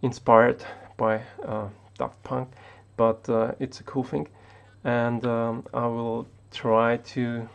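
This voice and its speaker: A man's voice: mid-range voice, level tone, slow pace, creaky, few pauses, clear, resonant tone, muffled recording. A man from Austria, not an English speaker, talking unscripted to the camera as a tech YouTuber, hesitant with many restarts.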